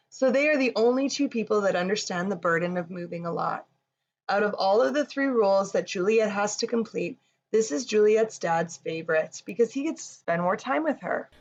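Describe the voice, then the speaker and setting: A woman's voice: medium pitch, muffled recording. A woman reading a picture book to children and guiding them through yoga with simple, encouraging cues, recorded in a room. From Canada. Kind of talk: children's read-along and yoga instruction. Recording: roomy echo, distant mic, background noise.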